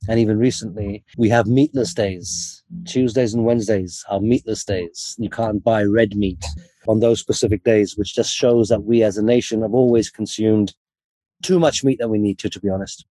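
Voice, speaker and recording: A man's voice: fairly low pitch, fairly even intonation, moderate pace, dark tone, no room sound, rough recording. A man born in the UK, a guest in an interview, speaking in an earnest, opinionated way. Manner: earnest, opinionated, opens with Arabic invocations. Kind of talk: interview answers.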